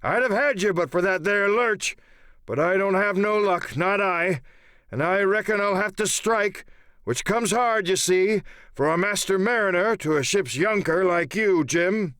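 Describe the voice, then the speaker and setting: A man's voice: high-pitched, measured pace, a bit bright, dry recording, studio quality, pitched above the performer's own voice. A man playing a wounded, cunning older pirate in an audiobook, with speech and a folksy, grudging, bargaining manner. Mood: grudging, sly. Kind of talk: audiobook radio-play character acting.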